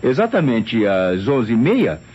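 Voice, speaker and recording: A man's voice: medium pitch, slow, husky, heavily acted. An actor playing a flustered, deferential private secretary, hesitant and stammering as he testifies in an old radio mystery. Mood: flustered recounting. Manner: hesitant, stammering, tag questions, animated storytelling. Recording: dull, noisy old radio drama recording.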